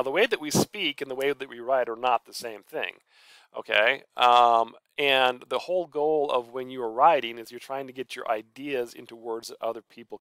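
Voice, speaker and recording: A man's voice: mid-range voice, a bit bright, clear, resonant tone, no echo. A man giving a casual screencast tutorial, talking informally through his steps aloud. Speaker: college professor and author giving a software tutorial.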